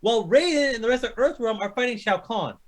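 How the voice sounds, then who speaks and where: Male co-host, high voice with big swings, exasperated, argumentative, sweary casual rants. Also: expressive, fairly quick. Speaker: co-host of a video game movie chat show. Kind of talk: casual animated ranting, heavy swearing.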